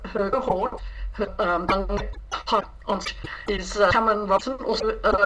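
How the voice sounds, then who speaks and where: A woman speaks as an interview guest, hesitant and halting, with frequent ums. She sounds set back from the mic, and a clear hiss sits under her voice. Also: medium pitch. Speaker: director and writer, guest. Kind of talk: casual interview. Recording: distant mic with clear noise.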